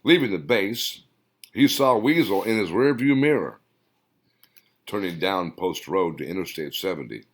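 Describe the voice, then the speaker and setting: Low-voiced man reading a story aloud. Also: moderate pace. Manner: expressive storytelling read-aloud. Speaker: author reading his own story. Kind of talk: audiobook narration.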